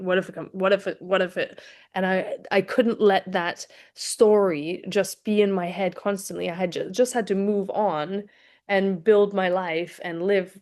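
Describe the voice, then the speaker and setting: A young adult woman, hoarse from a cold, talking chattily with frequent restarts as a fitness coach in a live session. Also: mid-range voice. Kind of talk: casual live-streamed talk to a chat.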